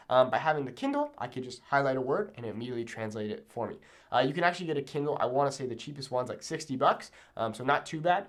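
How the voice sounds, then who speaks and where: A man giving an instructional talk to camera as a language teacher, emphatic and often checking in with the learner. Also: mid-range voice. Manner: emphatic, checks in with "okay?" and "right?".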